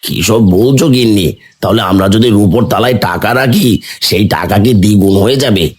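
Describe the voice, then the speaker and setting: A man voicing a greedy, scheming middle-aged village hotel keeper in a Bengali cartoon, loudly exclaiming and wailing. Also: lowish voice, fairly quick, husky. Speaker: hotel owner.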